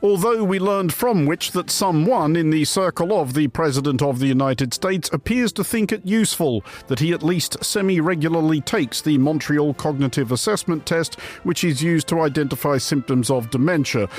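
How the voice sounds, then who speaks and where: A man presenting a satirical news review as scripted narration, with a deadpan, wry and wordy delivery. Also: a little high, normal speed, few pauses. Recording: music bed under the voice. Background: music.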